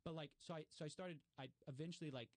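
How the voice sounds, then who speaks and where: A man's voice: a little high, steady tone, fast, dry recording. A man talking casually as a podcast guest, explaining and telling stories in a conversational way. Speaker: podcast guest, investigative journalist.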